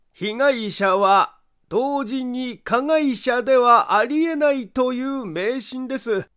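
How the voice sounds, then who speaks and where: Man voicing an eerie, high-pitched, slow-spoken male detective. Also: a bit bright, clear, resonant tone, heavily acted. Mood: eerie, insistent. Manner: slow, deliberate, insistent. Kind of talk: dramatic audiobook character acting.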